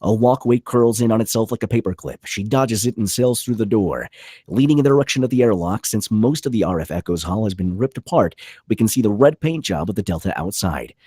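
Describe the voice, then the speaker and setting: A man's voice: on the low side, lively intonation, fairly quick, mellow, husky, dry recording, studio quality. A man reading stage directions as dramatic scripted narration, in punchy, clipped phrases. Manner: punchy, clipped delivery with voiced sound effects.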